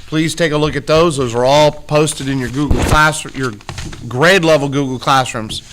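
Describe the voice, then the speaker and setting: A man whose voice is starting to go hoarse gives informal school announcements, rallying listeners with check-in questions. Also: fairly high pitch, moderate pace. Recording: clearly noisy background.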